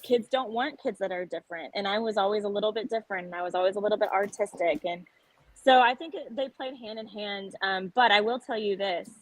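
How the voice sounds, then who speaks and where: A young woman from South Texas chats earnestly as an interview guest. She restarts her phrases often and keeps talking quickly. The sound is dry and close, with no room echo. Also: mid-range voice. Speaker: singer-songwriter guest. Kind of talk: casual interview conversation.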